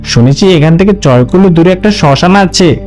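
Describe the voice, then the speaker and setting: A man's voice: high voice, lively intonation, fairly quick, a little mellow. A man playing a wary, sombre forest guard companion with a drawling delivery in a horror cartoon. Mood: sombre, resigned. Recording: faint music behind the voice.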